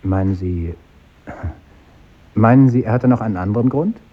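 An actor playing a younger schoolmaster, polite and slightly pompous, with clipped formal speech in a 1950s German radio play. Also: male voice, fairly low pitch, moderate pace, natural voice. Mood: earnest. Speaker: teacher. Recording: dull old radio recording, noisy classroom.

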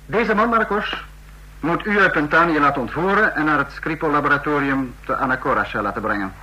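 Fascist leader in radio drama: higher-set, bright voice, formal, commanding, measured. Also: male voice, rough recording. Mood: coolly commanding. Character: stern male dictator of a fascist state. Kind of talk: radio play acting.

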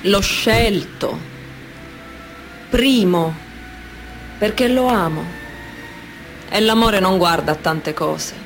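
Slow-spoken, defiant mafia wife. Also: female voice, mid-range voice, faint music behind. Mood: devoted, resolute. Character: proud, defiant, devoted wife of a mafia boss. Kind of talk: dramatic radio monologue.

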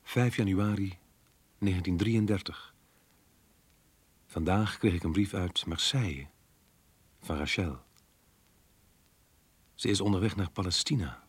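A man's voice: low-pitched, measured pace, pauses often, breathy edge. An actor playing a melancholy, resigned man who reads from his diary in a subdued, reflective way in a radio play. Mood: quietly grateful, wistful. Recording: roomy sound with music behind.

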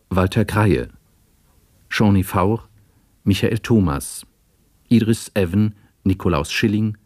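A formal male announcer reads out credits for a radio broadcast. His voice is on the low side and clearly slow, recorded very dry with no room around it. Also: mellow, no room sound. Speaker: announcer reading closing credits.

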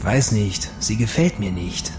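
An actor playing a suspicious photographer and criminal accomplice in a crime radio drama, speaking tersely and offhandedly. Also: male voice, lowish voice, expressive, measured pace, heavy background noise. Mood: distrustful.

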